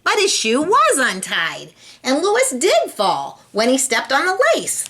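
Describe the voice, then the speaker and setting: A woman reads a children's story at an unhurried pace. Her voice is bright and swoops through a lively range, with an expressive, teacherly delivery. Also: high-pitched, slightly rough. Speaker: storybook reader. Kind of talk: animated read-aloud for children.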